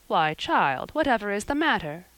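A woman's voice: medium pitch, big pitch swings, normal speed, fairly bright tone, no echo. A woman voicing a stern, practical older female guardian in an audiobook, curt and disapproving. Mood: matter-of-fact. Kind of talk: audiobook character voice.

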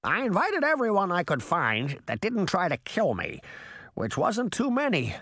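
A man's voice: sits high, wildly swooping pitch, moderate pace, pushed and bright, dry recording, clean studio sound, voice pushed up, heavily acted. A man voicing an exhausted, wry female crow in a storybook, squawking and sarcastic. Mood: weary, businesslike.

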